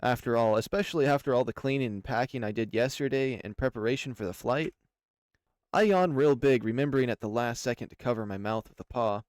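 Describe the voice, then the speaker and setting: A man's voice: mid-range voice, a little fast, darkish tone, no echo, clean studio sound. A man reading a visual novel aloud as first-person narration for a channel. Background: music.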